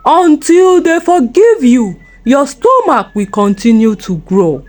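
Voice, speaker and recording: A woman voicing a solemn, ominous native doctor in a folktale, pronouncing words in a drawn-out way. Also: high-pitched, sing-song, darkish tone, soft background music, full character voice. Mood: grave, ominous. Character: grave village native doctor pronouncing a curse.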